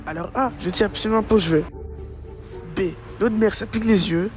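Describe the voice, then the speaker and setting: A man playing a cheeky high-voiced hedgehog. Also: fairly quick, close to an everyday voice. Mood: frantic. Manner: chatty, excitable, wisecracking. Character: cheeky fast young blue hedgehog hero. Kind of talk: fan dub acting. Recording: music playing behind.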